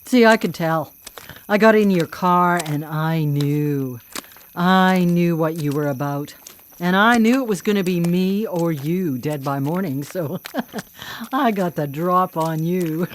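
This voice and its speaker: A vengeful female vigilante speaks in a lowish voice. She is cocky and mocking, with a cool menace, in the manner of live radio drama. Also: slow pace, slight background noise. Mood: menacing, gloating. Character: vengeful, taunting female vigilante killer who hunts predators.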